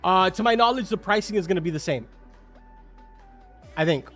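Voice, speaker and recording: A man's voice: high-pitched, expressive, normal speed, fairly bright tone. A young man whose first language is Spanish, chatting casually with his live-stream viewers, answering their questions with lots of filler words. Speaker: game streamer. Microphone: studio microphone. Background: faint game music.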